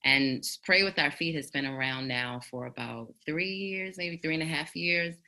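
A woman's voice: deep voice, normal speed, sharp tone. A woman from the United States giving reflective interview answers as a guest over an internet call. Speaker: guest, activist blogger.

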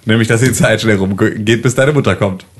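A man's voice: on the low side, brisk, husky. A man playing a tabletop role-playing game, chatting casually and setting scenes with his fellow players. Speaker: role-play podcast player. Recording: slightly noisy room recording.

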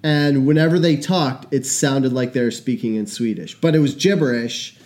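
A young man co-hosting a podcast in casual chat, animated and quick to laugh. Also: mid-range voice. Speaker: podcast co-host.

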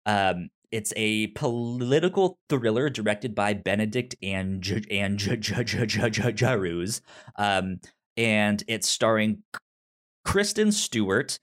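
Slow-talking man with a pronounced stutter. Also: lowish voice, animated, a bit bright. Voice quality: pronounced stutter with repeated initial sounds. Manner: halting, informal, hesitant phrasing. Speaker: podcast host. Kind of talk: casual film pitches on a talk podcast.

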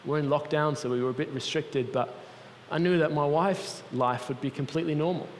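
A man's voice: a little high, brisk, a little hiss or hum. Swiss-accented man preaching in a hall. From Switzerland. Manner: earnest, personal storytelling. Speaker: guest speaker for a mission organization. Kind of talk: sermon. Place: large church hall. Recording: long reverb of a church hall.

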